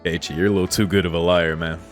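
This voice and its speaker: A man's voice: sits low, little pitch movement, fast, soft background music. A man streaming a visual novel, mixing read-aloud narration with casual commentary. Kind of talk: casual let's-play commentary and reading aloud.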